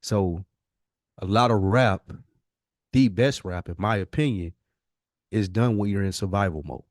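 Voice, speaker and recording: A man's voice: fairly low pitch, measured pace, dry recording, clean studio sound. An American man co-hosting a podcast, talking casually with heavy slang, swearing and emphatic phrasing. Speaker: podcast co-host. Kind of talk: casual conversation.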